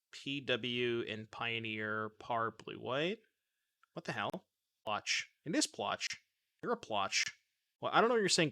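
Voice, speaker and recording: Bright-voiced joking male streamer. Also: mid-range voice. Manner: joking, chatty, answers chat while playing. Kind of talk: casual gameplay commentary reading chat. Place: home streaming room. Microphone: desk mic with webcam.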